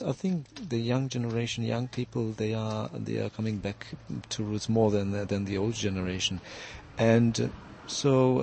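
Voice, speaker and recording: A man, a native Czech speaker, answering interview questions as a department official in halting English with repeated words. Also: fairly low pitch, vocal fry, a little mellow, a little hiss or hum. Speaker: government department head being interviewed.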